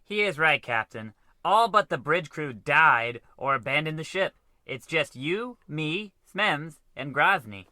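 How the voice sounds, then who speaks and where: An actor playing an earnest, dutiful spaceship navigator in a comic old-style radio adventure, reporting deferentially to a superior. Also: male voice, a little high, animated, measured pace, few pauses, pushed and bright, dry recording, heavily acted. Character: dutiful, eager young male spaceship navigator lieutenant.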